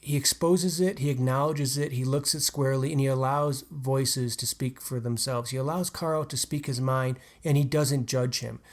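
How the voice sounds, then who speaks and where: A man's voice: medium pitch, steady tone, normal speed, hardly any pauses. A man co-hosting a podcast, talking about a novel in a casual, earnest and analytical way. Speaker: podcast co-host. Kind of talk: casual book discussion.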